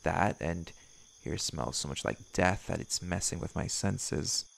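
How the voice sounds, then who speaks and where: A man's voice: sits low, measured pace, vocal fry, full character voice. A man voicing a brash, menacing werewolf in an audio roleplay, speaking in a blunt, curt, sardonic way. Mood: wary, blunt. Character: brash, menacing male werewolf hunting his quarry. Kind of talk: scripted audio roleplay acting.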